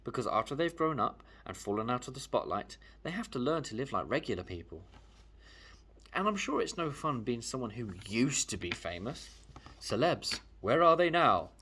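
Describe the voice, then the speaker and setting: Male read-aloud narrator, lively pitch movement, slightly rough voice, emphatic first-person storytelling. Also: normal speed. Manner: animated, emphatic. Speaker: storyteller reading a children's book aloud.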